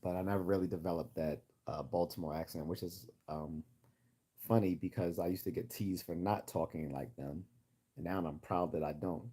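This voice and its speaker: A man's voice: steady tone, normal speed. A man with a generic Midwestern American accent chatting casually and giving his opinions as host of a livestream. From United States. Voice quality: deep, husky, mellow.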